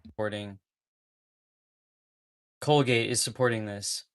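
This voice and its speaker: A man's voice: lowish voice, slow, a little hiss or hum. Rambling man streaming from California. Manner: rambling, repeats clips, nervous laughs. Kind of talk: casual livestream chat.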